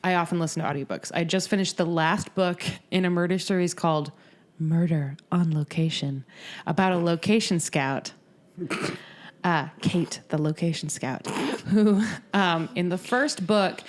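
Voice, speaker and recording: A woman's voice: on the low side, normal speed. A woman co-hosting a podcast in casual chat, wry and rambling with many fillers. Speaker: podcast co-host.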